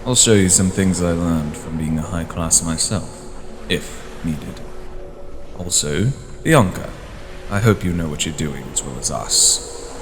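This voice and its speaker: Guy playing high-rank vampire leader, really deep, formal and composed, echoey hall w noise. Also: unhurried. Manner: formal, authoritative. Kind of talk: scripted fantasy role-play voice acting. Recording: long hall reverb, clear background noise.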